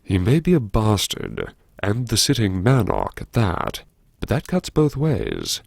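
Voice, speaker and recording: Male actor as brooding wry man, audiobook monologue, low gravelly voice, theatrical pauses, sardonic self-lecturing. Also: huge pitch swings, darkish tone, no room sound, clean studio sound, exaggerated voice. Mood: bitter self-reassurance. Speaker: audiobook narrator. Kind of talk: dramatic first-person audiobook monologue.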